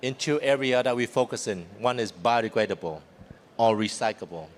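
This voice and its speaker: A man's voice: medium pitch, expressive, brisk. A man giving a live business presentation in informal, simple English. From Vietnam and New Zealand. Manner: informal, simple non-native English phrasing. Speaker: company founder, presenter. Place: seminar stage at a trade fair. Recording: noticeable ambient background noise.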